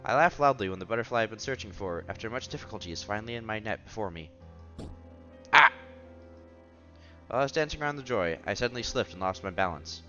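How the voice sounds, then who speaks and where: A man's voice: lowish voice, expressive, fast talker, long pauses, pushed and bright, muffled recording, soft background music. A man reading visual novel narration aloud as a streamer, expressively, with casual asides and exclamations.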